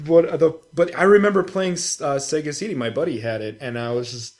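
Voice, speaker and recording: A man with a fairly high-pitched voice co-hosts a gaming podcast. He talks casually and informally, telling stories with animation and plenty of swearing. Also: fairly quick, darkish tone. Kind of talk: casual chat about video games.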